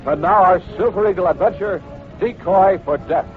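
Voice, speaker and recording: A man's voice: sits high, moderate pace. A man narrating an old-time radio adventure as its announcer, in a dramatic, scripted style. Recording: tinny old radio recording. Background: music.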